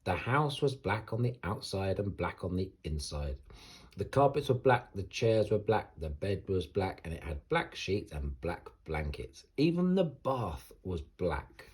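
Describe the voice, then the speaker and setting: Male storybook reader, low husky voice, lively swings in intonation, theatrical bedtime delivery for children. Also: unhurried. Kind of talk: expressive bedtime story narration.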